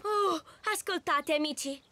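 A woman's voice: extremely high pitch, unhurried, sharp tone, dry recording, full character voice. A woman voicing a polite, sensible young male leopard cub in a children's cartoon, earnest and encouraging. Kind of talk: dubbed children's cartoon acting.

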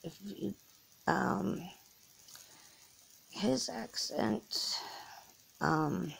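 Woman, middle-aged, English accent with Southern American traces, gravelly fry, very slow, restarts a lot, phone close up. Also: medium pitch, animated, rough recording. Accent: English with some American Southern. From England, Norfolk. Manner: slow, halting, many restarts and fillers. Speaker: vlogger. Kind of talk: rambling personal monologue. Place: lying in bed indoors. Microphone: phone held close above the face.

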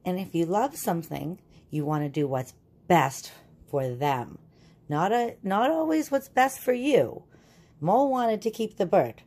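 A woman reading a children's picture book aloud and explaining it in a deliberate, teacherly way. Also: fairly low pitch, lively intonation, darkish tone.

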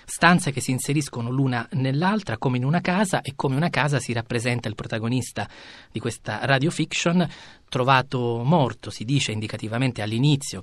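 A man's voice: medium pitch, dry recording. A man hosting a radio programme, giving a scripted introduction. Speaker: radio host.

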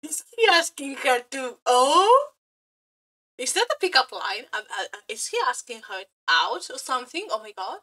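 A woman giving a casual live reaction as a streamer, excitable and giggly, swearing freely like a fangirl. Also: sits high, moderate pace. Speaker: streamer reacting to game character demo videos. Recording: music behind the voice.

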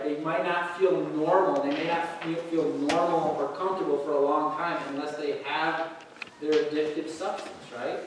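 Middle-aged male pastor, emphatic, chatty; higher voice, booming roomy hall, a bit off-mic. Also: a little hiss or hum. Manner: emphatic, conversational, with frequent "right?" checks. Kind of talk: sermon. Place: church hall with pulpit. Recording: very echoey hall, heard from a distance.

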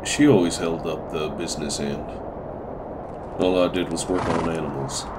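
A man playing a weary older widowed farmer, measured and plain-spoken, in a comic dub. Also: sits low, steady tone, very noisy, close to an everyday voice. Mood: wistful. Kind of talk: amateur comic dub acting.

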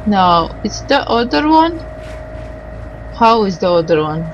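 A woman doing a casual game playthrough, reading the on-screen dialogue aloud in a halting, tentative way and sounding tired. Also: medium pitch, very animated, dull audio, a little hiss or hum.

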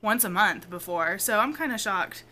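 Young woman, family vlogger, bright loud voice, casual home camera chat with faint room hum. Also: mid-range voice, lively intonation, normal speed. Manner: chatty, loud, laughs often. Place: home kitchen and living room at night. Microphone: handheld camera at arm's length.

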